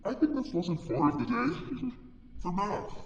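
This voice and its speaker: A man's voice: sits high, sing-song, unhurried, dull audio, exaggerated voice. A man voicing a gleefully cruel monster schoolteacher in a video game, theatrical and swinging between chirpy and threatening. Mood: giddy. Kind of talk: video game character acting. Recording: echoey hall, distant, some noise.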